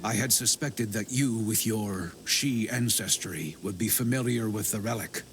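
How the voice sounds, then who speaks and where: A man playing an assured, knowing counsellor in a dark Arthurian video game, speaking in measured, assertive explanations. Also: fairly low pitch, a little hiss or hum, lightly acted. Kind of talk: scripted video game dialogue. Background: music under the voice.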